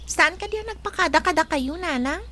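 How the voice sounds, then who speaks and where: An actress playing a concerned, inquisitive family member in a household radio drama. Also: female voice, very high voice, expressive, moderate pace, sharp tone, slight background noise, full character voice. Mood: casual. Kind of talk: Ilocano radio drama acting.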